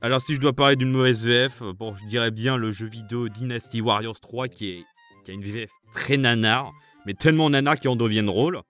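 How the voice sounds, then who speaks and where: A man's voice: mid-range voice, brisk, fairly bright tone, soft background music. Hesitant man picking his answer. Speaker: fandub group member. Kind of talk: casual answers to viewer questions.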